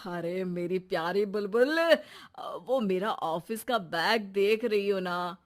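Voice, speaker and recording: A woman's voice: mid-range voice, slow, close to an everyday voice. A woman voicing a flustered, sly, flirtatious middle-aged husband in a comic cartoon, stammering out bashful excuses. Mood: flustered, wheedling.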